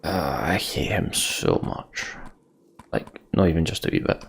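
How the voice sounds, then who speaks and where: A man's voice: rumbling low, big pitch swings, unhurried, vocal fry, slight background noise. A young man thinking aloud as he plays a game, muttering to himself. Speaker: let's play host. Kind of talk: gameplay commentary.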